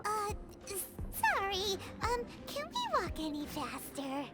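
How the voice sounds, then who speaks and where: Eine Frau spricht in einem Videospiel eine winzige, quirlige Feengefährtin mit quietschiger, sprudelnder Stimme. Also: female voice, wildly swooping pitch, slow, exaggerated voice. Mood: flustered. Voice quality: squeaky, bubbly, refers to herself in third person. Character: tiny chirpy fairy-like female companion, excitable. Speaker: video game character. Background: game music.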